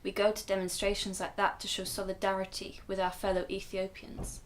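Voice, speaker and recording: A woman acts as a protective grandmother in a radio play. She explains things earnestly and with measured care, in a middling female pitch. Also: monotone, close to an everyday voice. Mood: grave, resolute. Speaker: actor in a radio drama. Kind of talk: radio drama dialogue.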